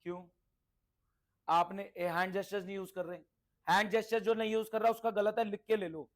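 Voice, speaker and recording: High-pitched male teacher. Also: little pitch movement, fairly quick. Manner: instructive, repeats points, checks understanding with questions; mixes in English terms. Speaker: accountancy teacher. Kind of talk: interactive classroom teaching.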